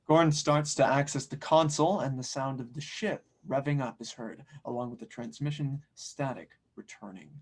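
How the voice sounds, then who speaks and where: A man's voice: mid-range voice, moderate pace, hardly any pauses. A man reading scene directions as the narrator of an audio drama table read. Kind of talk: scripted narration.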